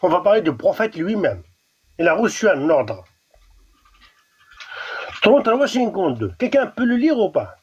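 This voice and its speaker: A man's voice: sits high, very animated, moderate pace, long pauses, husky, muffled recording. A man from Algeria with a Kabyle accent, whose first language is Kabyle, arguing insistently in a live debate and often restarting his words. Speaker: debate guest.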